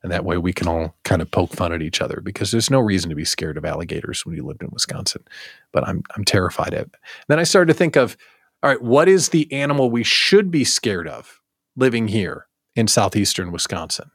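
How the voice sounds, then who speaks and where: Animated, deep-voiced American man hosting casually. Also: brisk, dry recording, clean studio sound. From United States. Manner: playful, emphatic, repeats words for comic effect. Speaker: host of a daily live show for small business owners. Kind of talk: casual live show chat to an audience.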